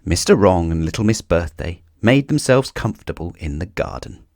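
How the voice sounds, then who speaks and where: A man reading a children's story aloud in an expressive narrating style. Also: deep voice, moderate pace, dry recording, clean studio sound.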